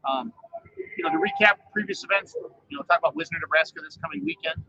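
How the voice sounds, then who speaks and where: A man co-hosting a casual weekly show live outdoors at a fair, quick and joking. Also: high voice, very animated, creaky, pushed and bright. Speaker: co-host of a weekly pulling show. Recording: slightly dull live stream sound with faint fair ambience.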